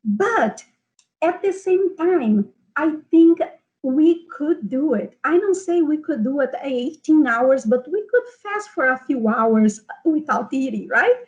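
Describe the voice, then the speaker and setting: A woman's voice: sits high, expressive, takes it slowly, lo-fi sound. A middle-aged woman with a Brazilian Portuguese accent, presenting an informal health seminar and speaking directly to her audience. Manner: frequent fillers and restarts, speaks directly to the audience.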